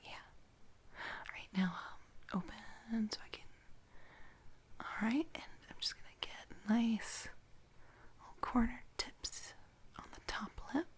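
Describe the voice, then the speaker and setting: A woman whispering gently and haltingly in a soft-spoken ASMR personal-attention roleplay, playing an attentive makeup artist. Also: on the high side, lively intonation. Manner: gentle, hushed, unhurried, halting with soft pauses.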